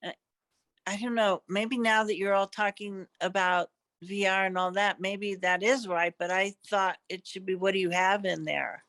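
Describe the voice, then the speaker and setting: A woman's voice: mid-range voice, fairly even intonation, moderate pace, fairly bright tone. A woman giving casual, tentative feedback on a group video call. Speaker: table read participant.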